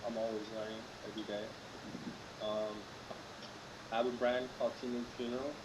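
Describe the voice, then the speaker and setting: A man's voice: lowish voice, level tone, brisk. A young man from Los Angeles, a podcast guest, answering in a laid-back way with short, agreeable replies. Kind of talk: casual interview chat. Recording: echoey room with noticeable noise.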